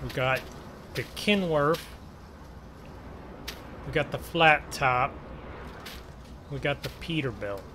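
A man giving casual gameplay commentary in a conversational, unhurried way with trailing pauses. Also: fairly high pitch, animated, sharp tone, slight background noise. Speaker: gaming video host.

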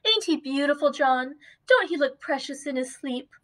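A woman voicing a cheerful young wife who fusses and dotes, her voice going high and bright. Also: lively intonation, moderate pace. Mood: doting. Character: young cheerful doting wife, chattering fondly.

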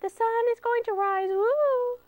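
A woman voicing a cheeky little male squirrel who chirps in a high sing-song voice. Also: unhurried, darkish tone. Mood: gleeful.

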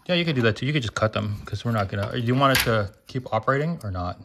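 A man hosts a casual hands-on teardown, talking his way through each step. His voice sits at a middling pitch, and he explains as he figures things out. Clear noise and clicking run behind him. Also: animated, racing. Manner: thinking aloud, explanatory. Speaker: host taking apart electronics. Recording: noisy hobby recording, clicks and handling.

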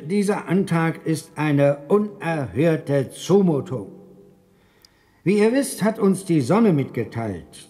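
An actor playing a pompous, indignant council chairman in a radio play, formal, officious and deliberate. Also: fairly high pitch, warm tone.

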